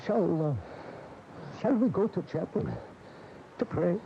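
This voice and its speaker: An actor playing a devout, solicitous priest who loves bullfighting, speaking with reverent deliberation in a radio drama. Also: male voice, high-pitched, animated, slow pace, gravelly, exaggerated voice. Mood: concerned. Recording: dull, noisy 1980 AM radio recording.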